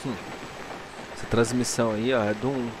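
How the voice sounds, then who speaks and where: A man's voice: medium pitch, flat delivery, moderate pace, noisy background. A young man with a Brazilian Portuguese accent, giving casual let's play commentary on a headset mic at home. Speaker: gaming YouTuber.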